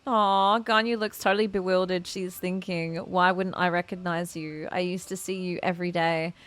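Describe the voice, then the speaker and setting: A woman game streamer giving casual, gushing, expressive reaction commentary over gameplay. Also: lowish voice, normal speed, dry recording.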